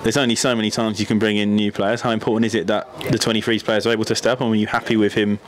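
A man interviewing a football manager after a match, asking measured, prepared questions. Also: on the low side, little pitch movement, a crowd in the background. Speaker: club media interviewer.

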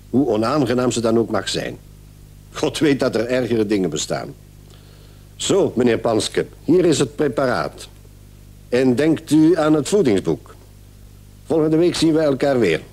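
An actor playing a brisk, authoritative doctor who is patronising and reassuring, in radio drama style. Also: male voice, medium pitch, animated, darkish tone. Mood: reassuring, dismissive. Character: brisk, paternal male doctor, dismissive yet reassuring.

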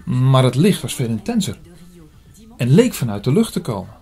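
Man playing an earnest priest, audio drama narrator, mid-pitched, measured and formal, music underneath. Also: very animated, a little mellow, husky. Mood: earnest, explaining. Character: earnest male priest investigating an apocalyptic prophecy. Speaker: narrator and lead actor. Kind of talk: scripted audio drama, first-person narration.

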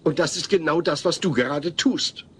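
A man's voice: on the high side, moderate pace, a bit bright, breathy edge. A man acting a patient, worried middle-aged father who explains and reassures in a sitcom audio play. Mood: patient reassurance. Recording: noisy old cassette audio play.

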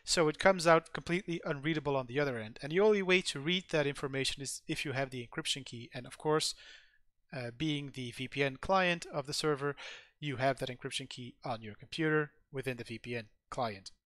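A man's voice: medium pitch, brisk, fairly bright tone, no room sound. A man whose first language is Dutch, speaking English with a Dutch accent as he walks through a screen-recorded tech tutorial in a loose, rambling way with many fillers. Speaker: tech channel host.

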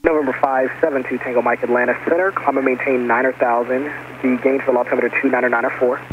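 A man's voice: medium pitch, level tone, fast talker. A man working as an air traffic controller, giving procedural instructions over aviation radio. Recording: tinny narrowband aviation radio, faint noise.

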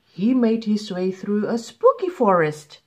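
A woman reading a children's picture book aloud in an expressive, deliberate way. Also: medium pitch, slight background noise. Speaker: children's story reader.

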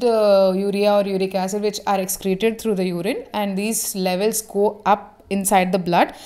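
A woman with a smooth, clear voice of middle pitch, talking close to a podcast microphone. She reads out a short passage, then explains it in a loose, lecturing way. Her talk is full of "uh" and small self-corrections. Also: a little mellow. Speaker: homeopathy teacher. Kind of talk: reading and explaining a reference text.

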